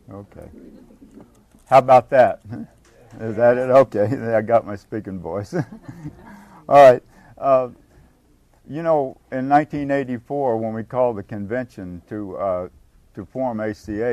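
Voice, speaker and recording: An older man giving a casual workshop talk to a live group on a handheld mic, halting and often restarting his sentences. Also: on the low side, a little mellow, dry recording, muffled recording. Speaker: workshop speaker at a recovery meeting. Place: meeting room with an audience. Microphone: handheld microphone.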